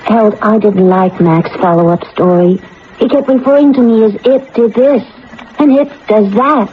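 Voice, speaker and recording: A woman's voice: mid-range voice, moderate pace, natural voice. A woman playing a naive, childlike talking computer in a radio drama, asking things in an inquisitive, literal, plaintive way. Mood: matter-of-fact, wounded. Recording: dull vintage radio recording with noise.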